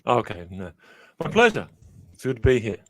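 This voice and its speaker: A middle-aged man from Australia, a professor giving measured, reflective interview answers full of small pauses and fillers. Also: medium pitch, wildly swooping pitch. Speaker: guest, professor.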